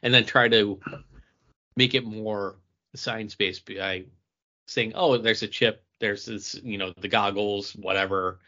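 A man co-hosting a podcast, chatting casually and haltingly about comic book movies. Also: on the low side, fairly even intonation, sharp tone, lo-fi sound. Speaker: podcast co-host.

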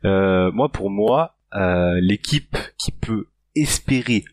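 A man's voice: lowish voice, animated, slow pace, a little mellow, no echo. A man on a sports chat panel giving a hesitant answer. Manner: hesitant, lots of fillers. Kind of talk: casual basketball chat.

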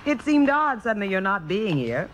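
An actress playing an earnest, outspoken playwright's wife in 1950s radio style, articulate and emphatic. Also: female voice, medium pitch, very animated, normal speed, lightly acted. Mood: friendly, curious.

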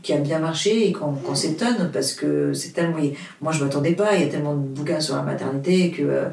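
A woman's voice: deep voice, brisk, vocal fry, darkish tone. Woman, roomy distant sound. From Portugal. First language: Portuguese. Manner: hesitant, many fillers and restarts, earnest. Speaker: author, interview guest. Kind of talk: casual interview chat.

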